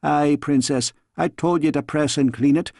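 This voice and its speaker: Man as a scolding Scottish maid, gravelly. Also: on the high side, fairly even intonation, normal speed, darkish tone, dry recording, studio quality. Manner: thundering, exasperated, fussing. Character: stout, scolding, motherly castle maid woman.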